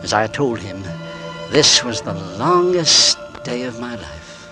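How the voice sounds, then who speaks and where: A man's voice: mid-range voice, big pitch swings, unhurried. A man acting a nervous businessman who narrates an old radio drama. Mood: brooding. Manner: tense, hushed, rising to frantic. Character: anxious middle-aged English businessman, narrator. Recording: old radio broadcast with music.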